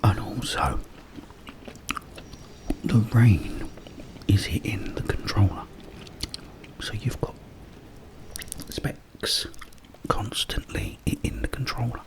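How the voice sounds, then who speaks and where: A British man speaks in a close, hushed whisper. His delivery is very slow and low, with pauses between short phrases. He rambles casually in the style of an ASMR creator telling a story. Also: very animated, creaky, rough recording. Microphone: close microphone.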